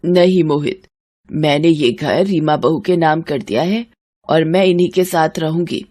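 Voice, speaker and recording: A woman's voice: deep voice, a little slow, dark tone. A wronged old widow from a cartoon family tale, played by a woman. She sounds sorrowful and subdued, pleading as the mother-in-law of the house. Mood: firm.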